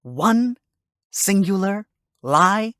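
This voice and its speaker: A woman's voice: medium pitch, lively intonation, extremely slow, fairly bright tone, no echo, clean studio sound. A woman voicing a sly, sarcastic male fox defense lawyer arguing in court.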